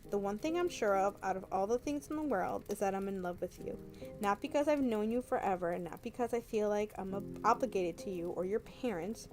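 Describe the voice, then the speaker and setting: A woman's voice: mid-range voice, brisk, darkish tone, close to an everyday voice. Woman reading as an earnest young man in love, music behind. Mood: tender, sincere. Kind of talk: romance novel read aloud.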